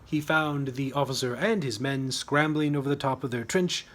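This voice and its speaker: A man's voice: medium pitch, normal speed, a bit bright. A man reading his own humorous short story as scripted narration, with a wry, dramatic storytelling manner.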